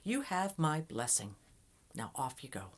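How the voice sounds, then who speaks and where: A woman's voice: deep voice, sing-song, measured pace, exaggerated voice. A woman storyteller playing a girl's father in a folktale, approving and matter-of-fact. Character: girl's father, plain, approving country man.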